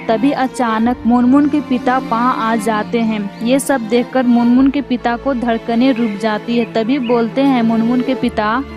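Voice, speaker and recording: A woman narrates a scripted story for children. Her voice is on the high side. Music plays clearly behind the narration.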